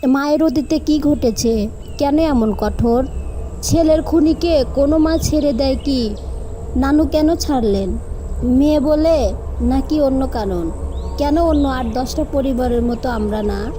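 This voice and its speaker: A woman's voice: high-pitched, unhurried, dark tone, a little hiss or hum. A woman playing an earnest, troubled teenage village girl in a cartoon, speaking careful, polite standard Bengali. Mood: troubled, pondering.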